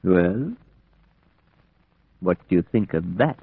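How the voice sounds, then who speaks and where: Grave, low-voiced old professor. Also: male voice, animated, husky, no echo, heavily acted. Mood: probing. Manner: solemn, deliberate, foreign phrasing. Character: grave, insistent old Dutch professor, vampire hunter. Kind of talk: old-time radio drama acting. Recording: muffled, narrow-band old radio recording.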